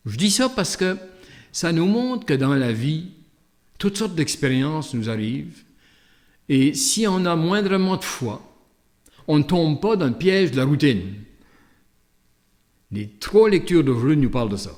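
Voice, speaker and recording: A man's voice: medium pitch, darkish tone. A man with a Canadian French accent, a priest speaking in a reflective, conversational storytelling way with hesitant asides as he gives a homily. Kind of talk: gospel reading and conversational sermon with anecdote.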